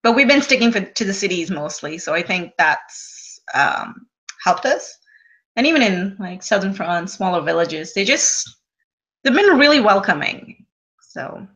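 A woman from Sri Lanka talks casually as an interview guest, her voice bright and forward. She chats freely and drops quick asides. The audio is dull and lo-fi. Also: medium pitch, very animated. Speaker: guest, travel blogger.

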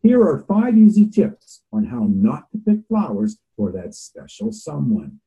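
A man's voice: fairly high pitch, wildly swooping pitch, a little slow, warm tone, exaggerated voice. A man voicing a playful male promo announcer, reading a scripted demo with a bouncy, sing-song delivery.

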